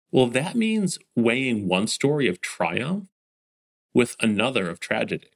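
A male host reads scripted narration, posing framing questions to the listener. His voice sits low and has a gravelly edge. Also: a bit bright.

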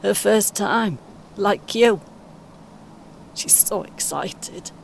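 Audio play: female actor as older British gran, polite, understated, encouraging, medium pitch, light engine hum behind. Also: drawn-out words, creaky. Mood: friendly. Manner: gentle, brief, politely encouraging replies. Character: polite, patient older British grandmother on a coach. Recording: faint vehicle noise behind. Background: vehicle rumble, coach ambience.

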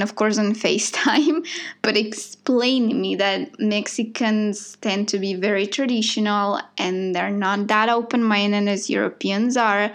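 A woman from Bosnia co-hosting a casual conversation, chatty and full of "like" and "you know". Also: medium pitch. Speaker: podcast co-host.

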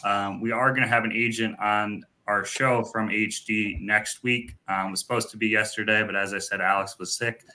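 Bright-voiced easygoing male podcast host. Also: lowish voice, steady tone, normal speed, few pauses. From Minnesota. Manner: easygoing, filler-heavy, friendly teasing. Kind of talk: casual podcast hosting.

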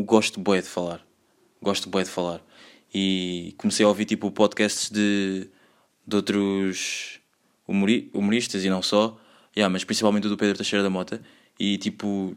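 Rambling young Lisbon-accented man, low voice. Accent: Lisbon Portuguese. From Lisbon, Portugal. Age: 20s. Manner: rambling, slangy, full of filler words. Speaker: podcast host. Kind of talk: solo casual podcast monologue.

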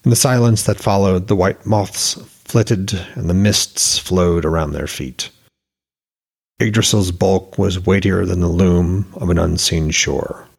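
A man's voice: deep voice, measured pace, creaky, husky. A man narrating a scripted fantasy story as its author and podcast reader.

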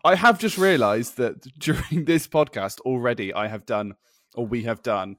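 A man's voice: mid-range voice, moderate pace, no room sound, clean studio sound. A man chatting and bantering as a podcast co-host, jokey and playfully dramatic in casual conversation.

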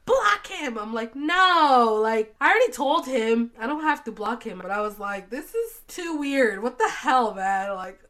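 A woman co-host with a fairly high, very lively voice tells gossipy stories. She acts out both sides of each exchange with bouncy, expressive delivery. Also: a little slow, hardly any pauses. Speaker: podcast co-host. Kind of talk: casual chat.

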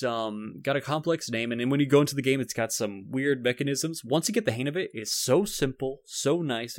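A man's voice: mid-range voice, dry recording. Rambling man in dry, casual podcast chat. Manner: rambling, self-interrupting. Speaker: podcast co-host.

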